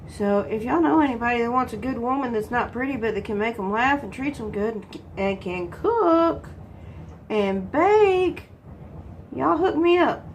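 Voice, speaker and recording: A woman's voice: fairly high pitch, a little hiss or hum. A congested woman from Texas, chatting casually while she works on a craft and answering her own questions. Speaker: crafting vlogger.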